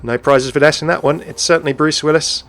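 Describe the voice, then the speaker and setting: A man's voice: on the high side, fairly even intonation, a little fast, a bit bright, soft background music. A man hosting a gaming video, narrating game lore in a chatty, upbeat way. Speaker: video host.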